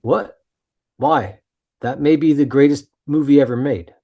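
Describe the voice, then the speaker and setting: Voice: medium pitch, a little slow, lo-fi sound. An actor reading an incredulous, easily astonished audience member in a questioning manner. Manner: questioning, amazed. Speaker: actor in a screenplay table read.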